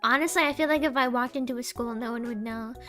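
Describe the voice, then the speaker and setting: A young woman with a tiny, sweet, high voice chats on her stream. She rambles, trails off and laughs at her own deadpan jokes. Soft music plays faintly behind her. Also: quick pace. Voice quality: small sweet voice. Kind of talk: casual live-stream chat.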